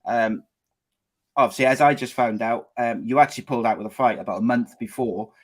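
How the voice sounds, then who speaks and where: A man's voice: medium pitch, brisk. A man hosting a live podcast show, chatty and loose, with frequent fillers and restarts as he introduces guests and runs the show. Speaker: podcast host.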